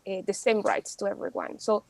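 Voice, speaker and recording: A woman's voice: mid-range voice, big pitch swings, brisk, vocal fry, darkish tone, no room sound, low-quality recording. A young woman from Mexico, a law student speaking as a podcast guest in casual, chatty talk full of fillers and restarts. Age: young adult.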